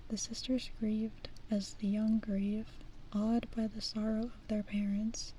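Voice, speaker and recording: A woman whispers softly into a close mic, reading slowly in a flat, even tone. Her voice stays hushed, with gentle self-deprecating asides between passages. A faint hiss sits under the recording. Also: medium pitch, rough recording. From American Midwest. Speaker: ASMR streamer.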